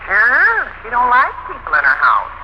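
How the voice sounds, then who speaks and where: Folksy housewife, brisk, nagging, lively intonation, vintage radio comedy, muffled noisy recording. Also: female voice, fairly high pitch. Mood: matter-of-fact, gossipy. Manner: plain-spoken, folksy. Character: brisk, nagging middle-aged housewife and mother. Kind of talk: old-time radio domestic comedy acting.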